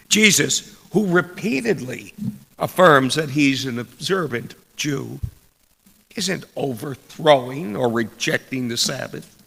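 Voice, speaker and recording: Male preacher, heavily creaky yet forceful and bright, delivering a written sermon in measured short phrases. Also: mid-range voice. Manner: deliberate, measured, broken into short phrases with pauses. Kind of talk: scripted sermon read aloud. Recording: long church reverb.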